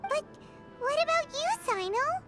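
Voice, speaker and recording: A woman voicing a tiny, inquisitive fairy companion in a video game, with a squeaky childlike voice and an eager, exclamatory manner. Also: lively intonation, unhurried, over loud music. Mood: surprised, concerned. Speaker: game character voice actor. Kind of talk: scripted video game dialogue.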